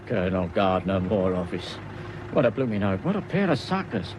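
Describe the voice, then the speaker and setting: An actor playing a cheeky, sly, crooked taxi driver with chummy colloquial talk in a vintage radio serial. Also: male voice, big pitch swings, moderate pace. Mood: gloating. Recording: noisy old radio recording, engine rumble.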